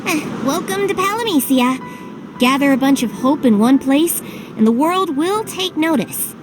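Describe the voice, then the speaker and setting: A woman's voice: sits high, lively intonation, a little slow, noisy background. A woman voicing a playful, enigmatic guide companion in a video game, fond and evasive. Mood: airy, teasing. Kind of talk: English video game voice acting. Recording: game music under the voice.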